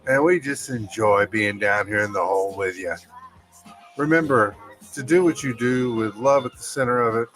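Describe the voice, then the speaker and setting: A man with a mid-pitched voice hosts a podcast. He talks in a casual, folksy manner, moving between reading aloud and chatting to his listeners. Music plays softly behind him. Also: moderate pace. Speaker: podcast host.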